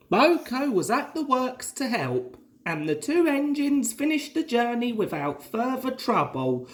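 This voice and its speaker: Slow, animated storyteller reading aloud. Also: medium pitch. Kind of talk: expressive children's story narration.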